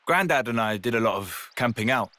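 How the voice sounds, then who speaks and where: A man's voice: medium pitch, lively intonation, fairly quick, sharp tone, no echo. A man voicing a scrappy, easygoing young fighter in a video game, with a British accent and slangy, informal speech. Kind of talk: video game cutscene acting.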